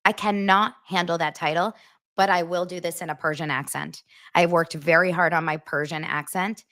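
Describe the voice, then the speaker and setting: A woman's voice: lowish voice, fairly even intonation, normal speed, fairly bright tone. An American woman hosting a casual pop-culture podcast, chatty and giggly, often laughing at herself. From United States. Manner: chatty, giggly, self-mocking. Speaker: podcast host.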